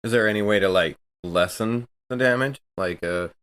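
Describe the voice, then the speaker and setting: Man, somewhat low pitch, casual game-table talk, tabletop player. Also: normal speed, fairly bright tone, clean tone.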